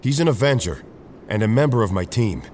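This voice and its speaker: A man playing a calm, level-headed male soldier hero who leads his team. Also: lowish voice, brisk, a little hiss or hum, lightly acted. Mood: firm. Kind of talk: fan audio drama acting. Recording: voice over music score.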